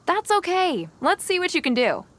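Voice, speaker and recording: High-voiced, confident survival expert. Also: female voice, sing-song, moderate pace, a bit bright, slight background noise, heavily acted. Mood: confident, welcoming. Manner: self-assured, instructive. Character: confident, friendly young female wilderness survival expert. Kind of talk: English video game character acting.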